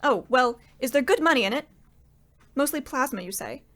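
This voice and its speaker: A woman's voice: high voice, expressive, normal speed, fairly bright tone. A woman acting in an old-time radio comedy as a polite, exasperated widow, earnest and straight-faced as she seeks help.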